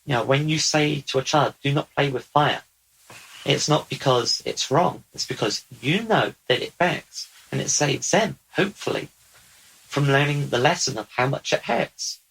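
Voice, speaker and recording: A man speaking as a channeled otherworldly male being, slow, deliberate and repetitive. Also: mid-range voice, creaky, fairly bright tone, slight background noise, poor audio. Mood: earnest. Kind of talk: channeled spiritual talk.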